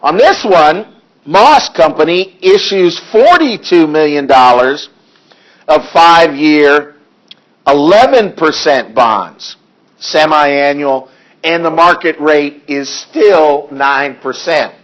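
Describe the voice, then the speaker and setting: A hoarse male accounting instructor in a classroom lecture, reading figures deliberately and adding dry asides. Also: fairly high pitch, lively intonation, a bit bright, lo-fi sound. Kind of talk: classroom lecture working through problems.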